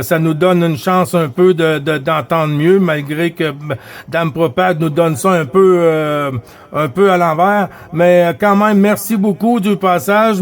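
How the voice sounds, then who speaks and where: Hesitant high-voiced Québécois man. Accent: Québécois French. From Quebec. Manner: hesitant, frequent filler sounds, friendly sign-offs. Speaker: CB radio operator. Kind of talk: casual radio contact chat.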